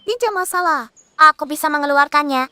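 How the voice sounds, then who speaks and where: A woman voicing a proud, stubborn, excitable young girl in an animated children's cartoon dub. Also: high-pitched, brisk, dry recording.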